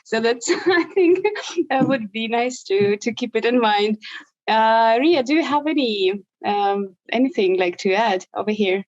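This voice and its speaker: A woman's voice: a little high, animated, unhurried, a little mellow, no echo. Hesitant woman moderator, dull dry audio. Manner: hesitant, with many ums and uhs. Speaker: webinar moderator. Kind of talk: moderating a panel. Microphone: video call.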